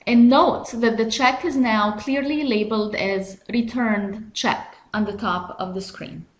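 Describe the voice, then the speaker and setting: A woman reading scripted step-by-step software instructions in a measured, instructional way. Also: a little high, roomy sound, a little hiss or hum. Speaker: software tutorial narrator.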